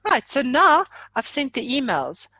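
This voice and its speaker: High-voiced woman leading an informal software webinar. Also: huge pitch swings, a little slow, husky. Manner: chatty, playful, exaggerating. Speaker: software trainer leading a live online session. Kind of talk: informal live webinar walkthrough.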